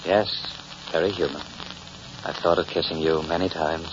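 A man acting a suave, pompous gentleman in a vintage radio drama, with formal, flowery and courtly speech. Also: low-pitched, fairly even intonation, moderate pace, lightly acted. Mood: romantic, courtly. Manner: formal, flowery, over-polite diction. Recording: muffled, hissy old radio recording.

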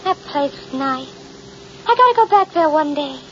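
A woman's voice: high voice, animated, normal speed, a bit bright, slight background noise. An actress playing a girlish, coy American killer who feigns innocence in an old-time radio drama. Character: girlish, falsely innocent cold-blooded female killer. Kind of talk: 1940s-50s radio drama acting, confessional narration. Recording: muffled old radio recording. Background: music.

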